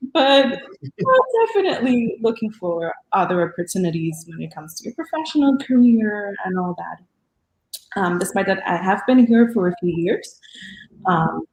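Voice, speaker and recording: A woman's voice: medium pitch, a little mellow, echoey room, low-quality recording. A woman, a physician guest talking earnestly on a podcast with many ums. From Dominican Republic. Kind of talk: podcast interview.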